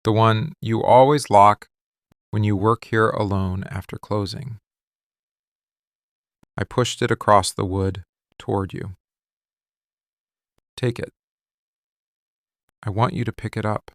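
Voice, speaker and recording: A man acting a possessive, menacing mafia boss in love, speaking slowly, deliberately and intimately in an ASMR roleplay. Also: lowish voice, steady tone, no room sound, clean studio sound. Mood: protective, commanding. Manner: slow, deliberate, intense, hushed confiding delivery. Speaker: ASMR voice actor. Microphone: close studio microphone, dry.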